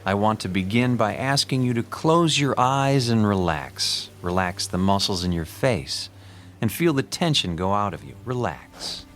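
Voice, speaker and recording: An actor playing a soothing, courteous hypnotist and cult-like leader, coaxing in formal, measured phrases in an audio drama. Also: male voice, on the low side, expressive, fairly bright tone. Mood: hypnotic.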